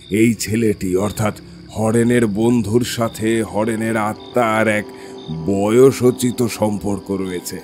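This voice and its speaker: A man acts out a grave older village exorcist in a horror audio play. He speaks very slowly and solemnly, in a low, commanding voice heavy with warning. Music plays behind him. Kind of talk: Bengali horror audio drama acting.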